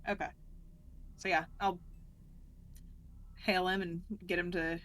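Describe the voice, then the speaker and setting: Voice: mid-range voice, level tone, measured pace, a bit bright. A tabletop game player chatting informally and conversationally during a session. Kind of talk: casual tabletop game chat. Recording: clearly noisy background.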